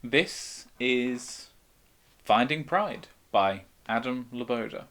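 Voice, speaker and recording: A young man casually introducing his own work to camera in a hesitant, halting way. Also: medium pitch, fairly bright tone. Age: young adult. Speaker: playwright introducing his play.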